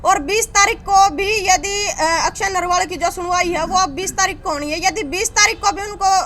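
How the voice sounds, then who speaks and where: A woman's voice: very high-pitched, bright, forceful tone, a little hiss or hum. A woman speaking as an activist spokesperson, giving a statement to reporters. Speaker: activist spokesperson at a press briefing.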